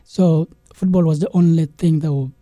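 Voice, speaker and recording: Young man from South Sudan, halting. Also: on the high side, steady tone, mellow, dry recording, soft background music. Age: young adult. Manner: halting, many restarts and "you know". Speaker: guest, game developer. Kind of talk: interview storytelling.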